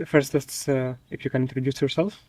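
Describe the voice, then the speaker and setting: A man's voice: medium pitch, flat delivery, brisk, a little mellow, dry recording, far from the mic. A man hosting a casual interview, speaking conversationally and checking details as he goes. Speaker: host, interviewer.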